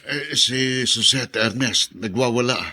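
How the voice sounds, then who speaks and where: A man's voice: mid-range voice, measured pace, bright, forceful tone. An actor playing a worried, helpless male servant in a radio drama, hesitant as he reports.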